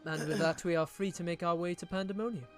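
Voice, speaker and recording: A polite adventurer heroine asks questions in measured, formal phrasing, read by a man. The voice sits somewhat high, with faint game music underneath. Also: steady tone, lightly acted.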